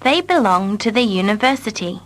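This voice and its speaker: A woman repeating short English dialogue lines slowly and carefully for learners. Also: medium pitch, expressive, fairly bright tone. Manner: carefully repeats each line. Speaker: English teacher. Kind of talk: slow scripted reading for learners.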